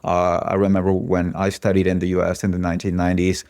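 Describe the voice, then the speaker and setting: A man with a Venezuelan accent, an economist answering interview questions in a measured, analytical way. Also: deep voice, completely flat delivery, darkish tone, no echo, clean studio sound. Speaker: economist guest.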